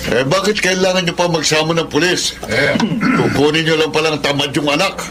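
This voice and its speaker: A man's voice: a little high, brisk, loud music behind the voice, close to an everyday voice. An actor with a Filipino accent playing a harsh, bullying male employer, scolding and domineering, in a radio drama. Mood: scornful, defensive.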